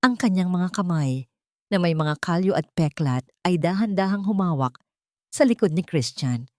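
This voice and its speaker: A woman narrating a scripted emotional story in a slow, solemn, sentimental manner. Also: lowish voice, expressive, warm tone, dry recording, studio quality.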